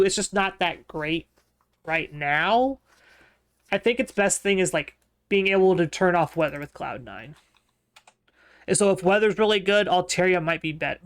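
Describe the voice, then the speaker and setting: A man co-hosting a casual podcast, thinking aloud in a relaxed way with frequent ums. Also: sits high, clean tone. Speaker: podcast co-host.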